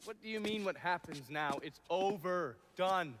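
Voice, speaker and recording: An actor playing a cynical, foul-mouthed American schemer in a video game, sarcastic and glib. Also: male voice, sits high, measured pace, a bit bright, a little hiss or hum. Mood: scornful. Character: cynical, foul-mouthed, sardonic schemer, mocking and world-wise. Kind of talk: dramatic video game acting.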